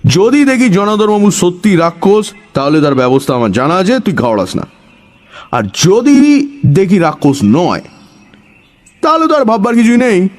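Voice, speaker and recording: A man puts on an eccentric village know-it-all for an audio story. The voice rides high and swoops around, brusque and bossy, scolding with total self-assurance in homely colloquial speech. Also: sing-song, normal speed. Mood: bossy, scheming.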